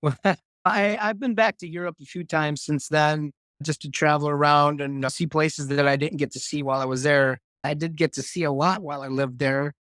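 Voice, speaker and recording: A man in his fifties, born in Germany, talking casually as an interview guest with a relaxed, reflective manner. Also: fairly high pitch, moderate pace, no echo, clean studio sound. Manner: relaxed, reflective, with restarts and fillers.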